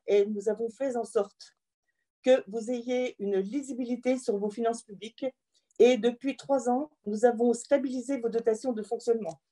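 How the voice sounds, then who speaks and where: A woman delivers a formal prepared address as a politician, in a measured, earnest manner. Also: on the high side, mellow. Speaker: member of parliament addressing local mayors.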